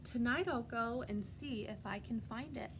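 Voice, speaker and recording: A small toy bear voiced by a woman reading aloud speaks in a fairly high voice. The storybook bear is innocent, wistful and easily amazed. There is some noise behind the voice. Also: normal speed, lightly acted. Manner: naive, wondering.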